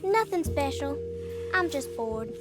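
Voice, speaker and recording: A woman's voice: high-pitched, lively intonation, takes it slowly, over loud music. A female performer playing an eerie, solemn young girl in a horror game, guarded and knowing in a childlike way. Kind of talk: cinematic game dialogue acting.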